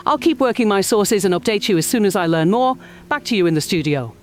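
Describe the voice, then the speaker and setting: A woman's voice: medium pitch, animated, fast talker, natural voice. A woman playing an earnest news correspondent in a radio drama, giving a serious, articulate field report. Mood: urgent, serious.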